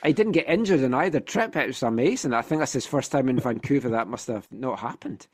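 A man's voice: mid-range voice, animated, fairly quick, a little mellow, slightly rough. A Scottish man hosting a football podcast in casual chat, telling things with wry animation, slightly congested from a sinus infection. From Scotland.

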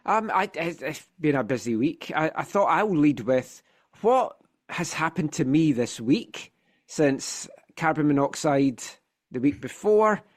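A man's voice: a little high, animated, unhurried. A Scottish man hosting a football podcast in casual chat, telling things with wry animation, slightly congested from a sinus infection. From Scotland.

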